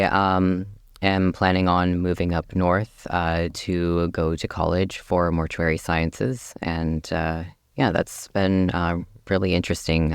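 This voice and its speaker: A young man of eighteen, a radio guest, speaking hesitantly with frequent pauses and fillers. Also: low-pitched, vocal fry, smooth, dry recording, clean studio sound. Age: young adult. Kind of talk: casual radio interview.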